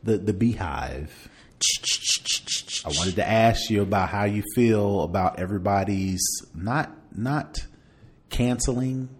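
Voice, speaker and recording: A man with a fairly low voice talks as a podcast co-host. His delivery is casual and conversational. He is animated and emphatic, repeating words to land his point. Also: takes it slowly, a little hiss or hum.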